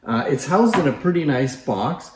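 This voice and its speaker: A man's voice: a little high, expressive, moderate pace, slight background noise. A man giving a casual, explanatory demonstration as a presenter showing off antique machines. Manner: informal, explanatory. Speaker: presenter showcasing antique phonographs. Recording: echoey room.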